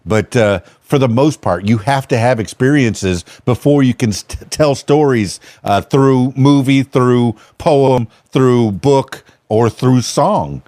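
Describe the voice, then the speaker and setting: A man's voice: mid-range voice, slow pace, hardly any pauses, a little mellow, no echo. A man in his fifties from South Florida hosting an interview, chatty and joking, thinking aloud with lots of fillers. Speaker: podcast host. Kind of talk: casual interview.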